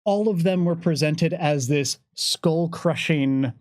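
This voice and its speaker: A man speaking as a game designer in a panel discussion, thoughtful and somewhat halting. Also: on the high side, darkish tone, dry recording, studio quality.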